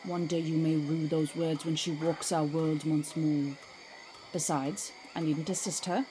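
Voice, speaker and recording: A woman's voice: low-pitched, steady tone, measured pace, dark tone, slight background noise, poor audio. A woman playing a haughty, zealous drow priestess with formal, disdainful speech in a fantasy audio drama. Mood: haughty, mocking.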